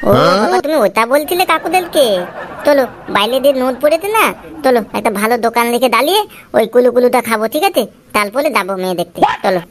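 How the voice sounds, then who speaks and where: A woman's voice: high-pitched, expressive, fast talker, some chatter behind. A woman voicing a whiny, eager little boy in a comic cartoon. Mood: impatient. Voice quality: babyish lisp. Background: music.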